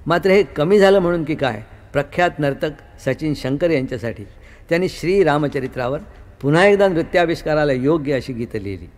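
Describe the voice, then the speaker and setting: A man presenting a scripted radio music show in formal, literary phrasing. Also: mid-range voice.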